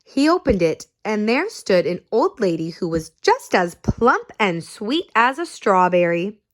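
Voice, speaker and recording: A woman reading a children's picture book aloud, pausing to ask her young listeners questions. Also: mid-range voice. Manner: slow and expressive, asks listeners questions. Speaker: storyteller.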